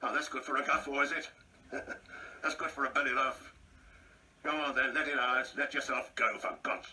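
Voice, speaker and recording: A man's voice: mid-range voice, steady tone, normal speed, bright, forceful tone, far from the mic. An actor playing a proud, insecure older man in a radio play, speaking in a pompous, precise, theatrical way. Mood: goading, agitated. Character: proud, insecure ageing man, bitterly reminiscing and taunting.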